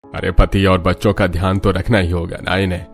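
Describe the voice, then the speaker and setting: A man's voice: low-pitched, little pitch movement, a little fast, creaky, soft background music. A man voicing a stern elderly father-in-law in a cartoon story, grumbling and self-righteous. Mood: indignant. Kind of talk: Hindi cartoon story dialogue.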